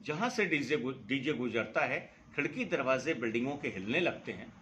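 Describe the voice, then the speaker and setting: A middle-aged man explains the rules point by point, earnest and emphatic. His pitch rises and falls a lot as he leans into key points. A faint hum of room noise sits under his voice. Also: expressive, normal speed, fairly bright tone. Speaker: noise pollution campaigner, foundation secretary. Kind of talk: explanatory interview answer.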